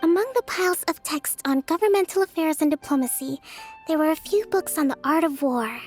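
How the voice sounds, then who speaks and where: A woman voicing a gentle, introverted young priestess and strategist in a video game, soft-spoken, formal and thoughtful. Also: high voice, a little fast, faint music behind, lightly acted. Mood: shy, reflective. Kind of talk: video game character voice acting.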